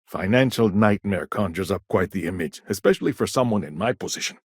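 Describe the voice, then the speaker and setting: A man acting a shrewd, self-assured businessman in a video game, with a wry, smooth-talking manner. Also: sits low, animated, a little fast, dry recording, clean studio sound, full character voice.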